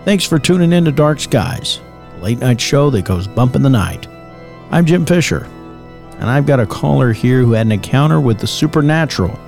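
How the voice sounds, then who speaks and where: Actor as gravelly late-night paranormal radio host. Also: male voice, medium pitch, expressive, moderate pace, a little mellow, faint music behind. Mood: inviting.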